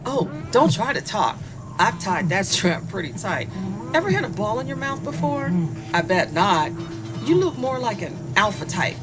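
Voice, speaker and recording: A woman playing a gleefully sadistic killer in an audio horror drama, taunting in a mock-sweet, drawn-out way. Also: mid-range voice, very animated, over music, lightly acted, poor audio. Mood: smug, teasing.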